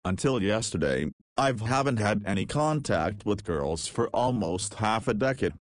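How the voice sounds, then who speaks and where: Visual novel lead, male, nineteen: cheeky, easygoing and slangy, lowish voice, dry recording, acted by a man. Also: expressive, a little slow, no echo. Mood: earnest. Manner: casual, slangy. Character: cheeky, easygoing nineteen-year-old male ex-juvenile detainee. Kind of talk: visual novel character dialogue.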